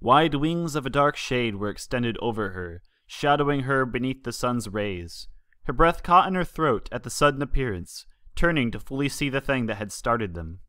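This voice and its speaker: A man's voice: mid-range voice, animated, moderate pace, fairly bright tone, no echo. A man reading a story aloud as scripted narration with dramatic delivery. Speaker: fan fiction reader.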